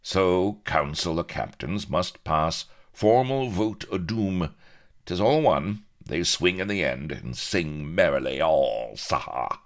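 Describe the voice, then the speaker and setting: A gruff pirate captain acted out by a male narrator gives blunt orders in a growl. The voice is gravelly and commanding, with big theatrical swings, fit for a comic adventure tale. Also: low voice, expressive, takes it slowly, pitched above the performer's own voice, heavily acted. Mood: growling, resigned.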